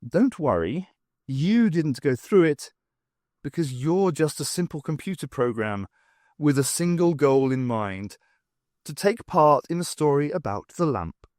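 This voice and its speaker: Brisk, clever female adventurer, performed by a male reader, animated intonation, explanatory delivery, dry audiobook sound. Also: on the high side, no echo. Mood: explaining. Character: Bernice, brisk clever adventurous woman heroine. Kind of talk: audiobook character voice.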